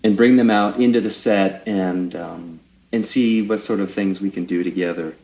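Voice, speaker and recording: A man's voice: on the low side, normal speed, darkish tone. A middle-aged man talking as a guest musician in a casual conversation, in a thoughtful, meandering way that often trails off.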